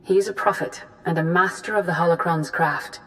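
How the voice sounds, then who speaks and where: An actress playing a grave, authoritative female Jedi grandmaster in a video game, speaking formally and deliberately. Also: fairly low pitch, a bit bright, lightly acted, rough recording. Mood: grave, earnest. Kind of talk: scripted video game dialogue.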